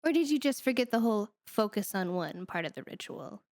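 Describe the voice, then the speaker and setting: A woman's voice: mid-range voice, animated, fairly quick, darkish tone, dry recording, clean studio sound, lightly acted. A woman voicing a sardonic, teasing female demon with a condescending, flirty manner in an ASMR roleplay.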